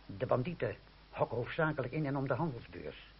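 An actor playing a deferential, cautious waiter in a radio drama, obsequious and gossipy, given to grumbling. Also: male voice, mid-range voice, animated, fairly quick, vocal fry. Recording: muffled old radio recording with hiss.

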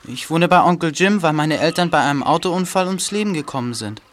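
A man's voice: a little high, a little fast, a bit bright, slight background noise, lightly acted. An actor in a German audio drama voicing an earnest, worried boy with an urgent manner. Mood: defensive. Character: anxious, earnest orphaned boy, lion keeper's nephew.

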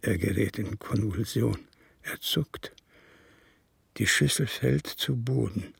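An actor playing an embittered, unrepentant older communist in a radio drama, husky and gravelly, speaking slowly, deliberately and formally. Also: male voice, on the low side, no echo, exaggerated voice. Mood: grim, haunted. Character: embittered old communist comrade confessing, resolute and unrepentant. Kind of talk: radio drama monologue and dialogue.

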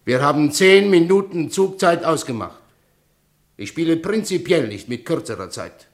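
A man's voice: on the high side, lively intonation, moderate pace. A man playing a cold, arrogant chess champion in a radio drama, formal, pedantic and condescending. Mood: irritated, pedantic.

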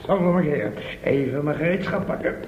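A man's voice: fairly high pitch, brisk, lightly acted. An actor playing a cheeky, grumbling handyman sidekick in a Dutch radio play, muttering in a wry, colloquial way. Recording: dull, roomy old radio recording, faint noise.

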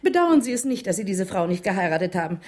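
An actress playing a woman recalling an old friend in a radio crime drama, expressive and theatrical. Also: mid-range voice, quick pace. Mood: reminiscing. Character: woman acquaintance of a painter, reminiscing to an inquirer.

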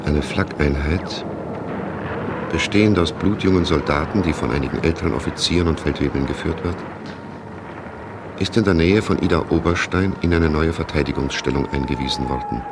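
A man's voice: very deep voice, moderate pace. A man delivering sober, factual, documentary-style scripted narration in a radio play. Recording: noisy, war sounds behind.

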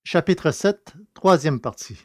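A man narrating a science-fiction novel as an audiobook, in a steady, measured reading. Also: on the high side, dry recording, studio quality.

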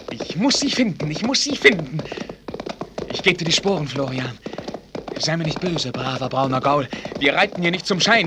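A man's voice: a little high, background noise, lightly acted. A young man acting an ardent, restless, lovestruck prince in a German radio play, with formal, poetic diction.

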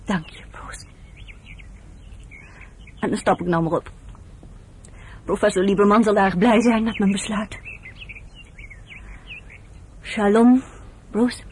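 A woman's voice: mid-range voice, steady tone, slow pace, pauses often, husky. An actress playing an earnest, conscientious woman in a Dutch radio drama, speaking in a hesitant, confiding, emotional way. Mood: grateful, tender. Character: earnest, conscientious woman caring for camp survivors.

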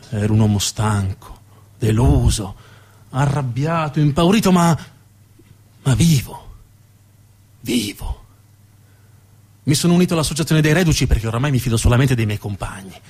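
A man playing a weary, embittered working-class war veteran in a theatrical monologue, halting and emphatic, with indignant repetitions. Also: medium pitch, darkish tone, slightly rough. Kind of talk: theatrical first-person monologue. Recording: music behind the voice.

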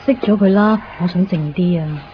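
A woman's voice: medium pitch, normal speed, slight background noise, natural voice. An actress playing a reserved but restless young woman in a 1990s radio drama, with a Hong Kong Cantonese accent. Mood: exasperated. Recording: dull 1990s radio recording.

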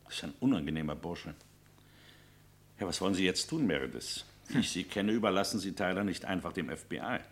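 Low-voiced, firm senior police official. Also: male voice, animated, a little fast. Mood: confiding. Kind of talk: vintage German radio crime drama acting.

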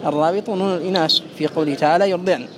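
A man's voice: high voice, steady tone, very fast, crowd noise. A man teaching a classical grammar lesson, methodically repeating and parsing each phrase for his students.

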